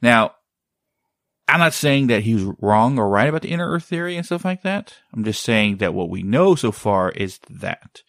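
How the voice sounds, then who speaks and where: A man's voice: mid-range voice, sing-song, normal speed, fairly bright tone, no room sound, clean studio sound. A man hosting a casual chat, rambling and often searching for the right word.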